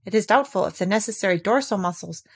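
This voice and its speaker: A woman's voice: medium pitch, breathy edge. Woman voicing a pompous, grave storybook owl. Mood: matter-of-fact. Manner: pompous, measured, formal. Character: pompous, grave male owl using long words. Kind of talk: children's storybook character voice.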